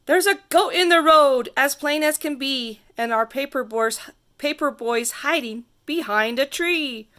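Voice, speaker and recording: A woman reading a rhyming picture book aloud to children in a theatrical, sing-song way with drawn-out emphasis. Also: high-pitched, bright, forceful tone. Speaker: storybook reader.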